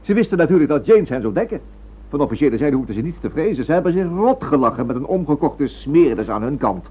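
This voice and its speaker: A man playing an indignant, stubborn and excitable writer pressing accusations in a radio drama. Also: a little high, fast, slightly rough. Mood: bitter. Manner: urgent, indignant, insistent. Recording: tinny, band-limited old radio recording.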